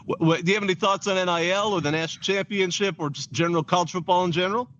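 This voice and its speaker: A man's voice: sits high, steady tone, racing, a bit bright, no echo. A man hosting a casual live interview, rambling and correcting himself as he asks questions, on dull lo-fi audio. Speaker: show host.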